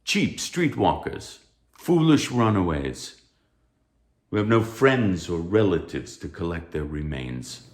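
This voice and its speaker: An actor playing a stern, pompous anatomy professor, speaking formally and like a lecturer in a theatrical read. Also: male voice, low voice, sing-song, slow pace, roomy sound, full character voice. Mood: grim, haughty. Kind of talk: theatrical table read of a stage musical.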